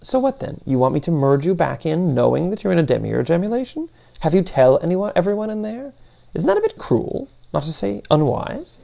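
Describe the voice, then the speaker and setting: A man's voice: on the high side, sing-song, a little fast, gravelly, warm tone, voice pushed up, exaggerated voice. A man voicing an exasperated, haughty sock-puppet machine intelligence in an audiobook, fussy and incredulous as it questions and grumbles.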